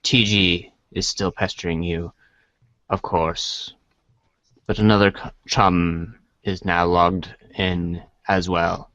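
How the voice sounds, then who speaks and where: A man's voice: deep voice, little pitch movement, slow pace, fairly bright tone, dry recording, muffled recording. A man reading scripted second-person narration as a storybook narrator.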